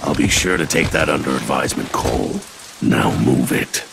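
Gravelly, very deep commanding soldier. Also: male voice, lively intonation, moderate pace, background noise, full character voice. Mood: curt, dry. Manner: curt, commanding. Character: gruff, battle-hardened male soldier squad leader. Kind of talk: video game cutscene acting.

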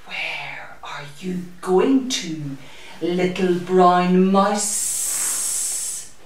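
A sinister storybook snake, as a woman puts it on, stretching its words out extremely slowly. It half-whispers with a soft, breathy lure, heard from off in a very echoey room. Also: fairly low pitch. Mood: luring. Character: slow, sinister snake, luring with soft hisses. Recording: echoey room, distant microphone.

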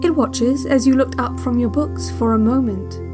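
An actress playing a calm, eerily watchful guide on a relaxation cassette, speaking in measured, soothing, deliberate instructions. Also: female voice, a little high, music in the background, lightly acted. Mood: quietly ominous. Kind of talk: slow hypnotic relaxation-tape narration in a fiction podcast.

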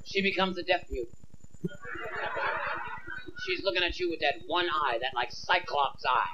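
A man's voice: fairly high pitch, a little slow. A man in his thirties doing a brash stand-up routine on a theater stage into a handheld mic, acting out bits of dialogue. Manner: brash, acts out voices of women and himself. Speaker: stand-up comedian. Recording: dull lo-fi VHS tape with hiss.

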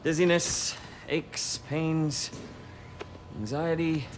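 An actor playing a middle-aged male doctor in a video game, courteous and grave, with a formal, measured delivery. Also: fairly high pitch, steady tone, a little hiss or hum. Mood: concerned. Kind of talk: game cutscene acting.

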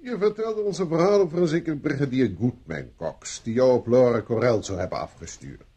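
Radio-play police inspector: husky, lively, commanding. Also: male voice, medium pitch, fairly quick, no echo. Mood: probing. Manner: commanding, probing, slightly condescending. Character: shrewd, self-assured male police inspector. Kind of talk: Dutch radio play acting.

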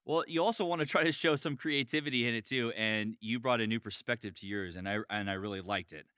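A man's voice: medium pitch, fast talker, sharp tone, clean tone, no room sound, studio quality. A man chatting casually and emphatically on a podcast, often repeating his words. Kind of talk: casual chat.

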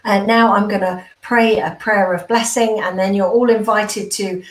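A woman's voice: mid-range voice, steady tone, normal speed, distant mic. A middle-aged British woman speaking as a vicar leading an online church service from home over a video call. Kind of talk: informal notices and spoken prayer. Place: small room at home, indoors. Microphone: computer microphone on a video call. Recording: roomy echo on a video call.

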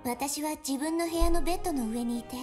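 A woman playing an earnest, lonely amnesiac girl in a visual novel, speaking softly and hesitantly. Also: sits high, racing, natural voice. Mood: wistful recollection. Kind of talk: Japanese visual novel voice acting. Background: game music.

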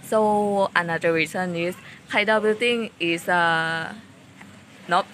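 A woman's voice: on the low side, fairly bright tone, a little hiss or hum. A nineteen-year-old woman, a psychology student, describing a campus building in a casual vlog, searching for words as she goes. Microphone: phone held at arm's length.

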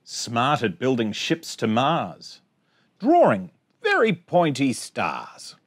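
A man in his forties hosting storytime, reading a picture book aloud to children in an expressive sing-song way with chatty asides. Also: mid-range voice, slow pace, slightly rough. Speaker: storytime host. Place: small indoor space among bookshelves.

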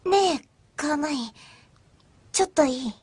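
A woman playing a quiet, vulnerable teenage girl in a Japanese radio drama, speaking in short, hesitant phrases. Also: high voice, lively intonation, moderate pace. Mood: suspicious.

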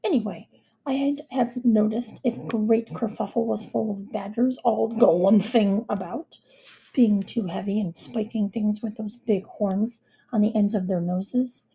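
A pompous, muddled king from a children's storybook, played by a woman. He blusters loudly and dismissively in a dark, creaky voice, entirely sure of himself. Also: mid-range voice, unhurried, slight background noise, rough recording. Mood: blustering, dismissive. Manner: blustering, emphatic, self-assured.

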